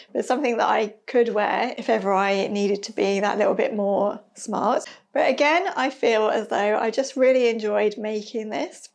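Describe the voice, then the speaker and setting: A woman chatting casually about her sewing projects, a little hoarse from losing her voice, with a self-deprecating manner and frequent ums. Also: medium pitch. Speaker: sewing vlogger.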